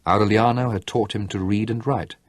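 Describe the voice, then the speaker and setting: A man reading a novel aloud as an audiobook narrator, measured and storytelling, with slow dramatic pauses. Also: on the low side, steady tone, no echo. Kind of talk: scripted literary narration.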